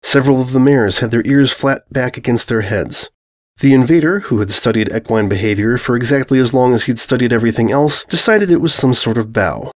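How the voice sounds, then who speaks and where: A man's voice: medium pitch, fairly quick. A man reading scripted comic fan fiction as narrator, in a dry, wry manner. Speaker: story narrator.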